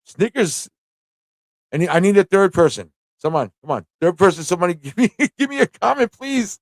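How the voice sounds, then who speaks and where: A man's voice: high voice, lively intonation, brisk, no room sound, studio quality. A man hosting a casual live-stream trivia show, chatty and playful, often thinking aloud to his viewers. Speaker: host of a live pop culture show.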